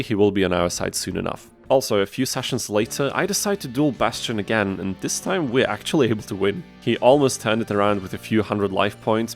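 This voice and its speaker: A man's voice: lowish voice, vocal fry. Tongue-in-cheek male gaming narrator over music. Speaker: gaming video host. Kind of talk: scripted challenge-run narration. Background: background music.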